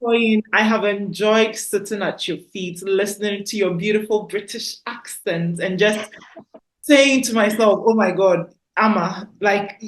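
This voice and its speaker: A woman's voice: mid-range voice, a little slow, rough recording. A woman hosting a podcast, speaking in a gushing, heartfelt, faith-filled way to her listeners. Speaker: podcast host. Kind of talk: effusive interview chat.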